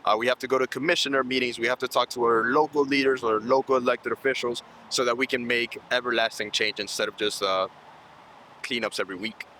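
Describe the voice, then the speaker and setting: A man's voice: medium pitch, fairly even intonation, quick pace, sharp tone, a little hiss or hum, low-quality recording. A man in his thirties from Colombia, raised in Miami, giving earnest, explanatory answers in a conversational interview. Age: 30s. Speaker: nonprofit program manager and interviewee.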